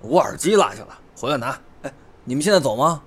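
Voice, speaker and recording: A man's voice: mid-range voice, animated, fast talker, breathy edge. A man playing a friendly, considerate young member of a pop group in an audio drama. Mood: surprised.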